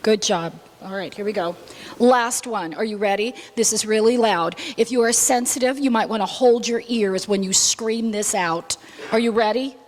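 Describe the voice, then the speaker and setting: A woman's voice: mid-range voice, moderate pace, a little hiss or hum. A woman casually giving instructions to an all-ages audience, coaxing them and demonstrating how to respond. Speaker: storybook fairy helper leading audience responses. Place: live gathering before an audience.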